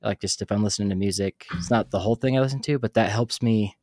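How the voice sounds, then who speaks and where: A young man hosting a podcast from a small dark room on a studio mic, chatty and given to telling his own stories while asking questions. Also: lowish voice, fast talker, no echo. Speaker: podcast host. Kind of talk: casual interview chat. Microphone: studio mic on arm, headphones.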